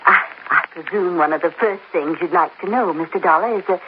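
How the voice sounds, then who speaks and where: A woman's voice: on the low side, brisk, natural voice. A woman playing a genteel, nervous society mother. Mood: gracious. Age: middle-aged. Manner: refined, polite, flustered stammer. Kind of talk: 1950s radio drama acting. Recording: dull old radio recording with faint hiss.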